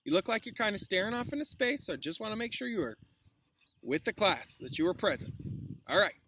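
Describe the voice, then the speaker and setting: A man's voice: high voice, big pitch swings, a little fast, sharp tone, a little hiss or hum. A man who teaches school, reading a story aloud with informal, chatty asides and explanations. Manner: informal, interjects explanations and reactions.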